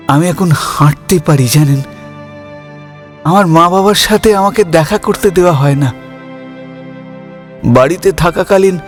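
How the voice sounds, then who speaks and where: A slow, wistful young man, emotive. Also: on the high side, gravelly. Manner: halting, stammering, emotive delivery. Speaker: narrator and lead character. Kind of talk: dramatic Bengali audio story narration. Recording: music under the voice.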